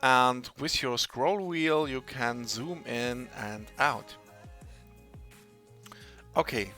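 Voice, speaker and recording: A man whose first language is German, explaining software step by step in English, searching for words and pausing as he goes. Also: medium pitch, faint music behind. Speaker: tutorial maker. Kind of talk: screen-recorded software tutorial.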